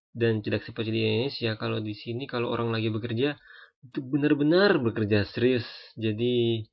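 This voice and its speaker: Young male tutor, 20s, gravelly low-middle voice, loose and hesitant delivery, dull lo-fi sound. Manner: relaxed, hesitant, many fillers and restarts. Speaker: French language teacher. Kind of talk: casual spoken lesson. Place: France. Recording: dull lo-fi recording.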